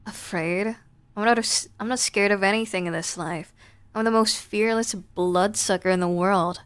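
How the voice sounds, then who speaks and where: A woman's voice: mid-range voice, fairly even intonation, moderate pace, clear, resonant tone, no room sound, close to an everyday voice. A woman voicing a boastful, defensive young male vampire from a horror game, with sarcastic delivery. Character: cocky, prickly young male vampire, boastful tough guy.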